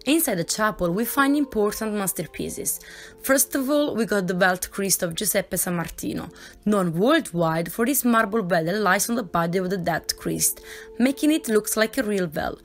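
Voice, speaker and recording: Female narrator, mid-pitched, measured scripted tour voice-over over faint music. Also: expressive.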